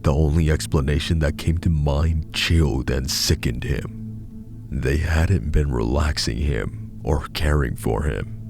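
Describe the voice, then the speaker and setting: Super deep gravelly guy narrating creepypasta, slow measured delivery, music behind him. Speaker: horror story narrator. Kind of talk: scripted horror narration.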